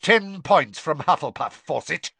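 A cold, ill-natured male teacher who snaps short, snarling orders, as a man puts him on. The voice swings widely in pitch. It is recorded close and dry. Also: huge pitch swings, normal speed, fairly bright tone, no room sound. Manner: curt, snarling.

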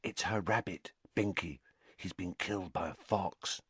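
Audiobook role: sympathetic schoolgirl, soft and hushed, read by a man. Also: sits low, unhurried, slightly rough, no room sound, exaggerated voice. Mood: hushed, sad. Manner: whispering.

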